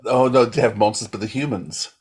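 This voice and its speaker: A man's voice: medium pitch, brisk, slightly rough. A man co-hosting a podcast, chatting casually and emphatically, often repeating himself. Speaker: podcast co-host. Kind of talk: casual chat.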